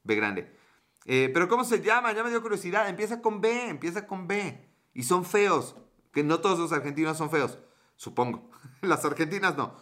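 A man's voice: high voice, sing-song, normal speed, fairly bright tone. A man with a Mexican accent chatting casually on a livestream, greeting viewers and joking around with crude slang. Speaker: streamer. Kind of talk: casual livestream chat, reading viewer comments.

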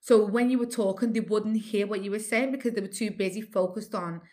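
This is a young woman with a clear Scouse accent, chatting as a guest in an easygoing conversation. She tells her stories with energy and slips into the voices of the people in them. Her pitch sits in an ordinary middle range for a woman. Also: brisk. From born in Nigeria, raised in Liverpool. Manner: lively storyteller who acts out others' lines. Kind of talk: casual conversation.

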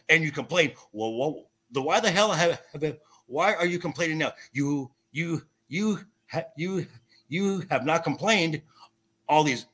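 A man with a bright, forceful and somewhat high voice that has a raspy edge. He argues like an indignant podcast guest, hammering points home and tripping into stammered repetitions. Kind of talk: heated debate about comic adaptations.

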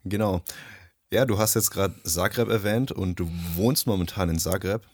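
A young man who grew up in Nepal, hosting a casual podcast in a relaxed, hesitant way. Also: low voice, moderate pace. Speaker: podcast host. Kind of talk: casual conversation.

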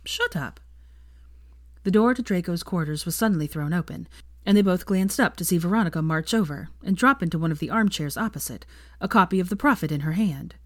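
A woman's voice: on the low side, fast, dry recording, clean studio sound. A woman reading scripted fiction aloud as a story narrator.

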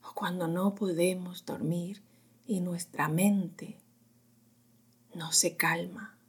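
A woman with a very breathy, lowish voice guides a sleep meditation. She speaks softly and soothingly, often slipping into a whisper. Her pace is extremely slow, with long pauses between short phrases. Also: fairly even intonation.

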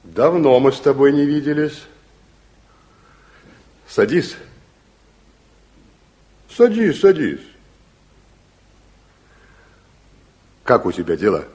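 A man's voice: medium pitch, very animated, slow, pauses often, darkish tone, slightly rough, heavily acted. An actor playing a weary, earnest, watchful secret policeman in a fatherly, probing way, in a 1960s Soviet radio play. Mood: friendly, probing. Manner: probing, repeats words back. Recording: muffled-edged 1960s radio drama recording.

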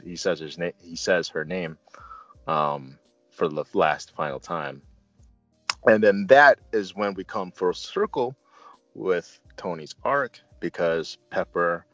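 A man hosting a casual movie discussion, retelling scenes in an earnest, halting, colloquial fan's way. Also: low voice, fairly bright tone, no room sound. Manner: halting, earnest fan talk with colloquial asides. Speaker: movie reviewer and show host. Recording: dull lo-fi audio with faint music. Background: faint music.

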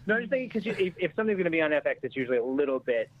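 A man speaking as a guest writer in a casual discussion, halting and questioning himself as he goes. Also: a little high, sing-song. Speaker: comedy scriptwriter, guest. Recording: slightly dull, muffled sound.

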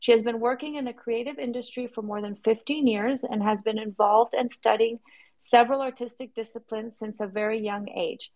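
Friendly woman co-host with higher voice. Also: steady tone, moderate pace, darkish tone, rough recording. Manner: friendly, reads aloud smoothly. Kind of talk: reading a bio and hosting.

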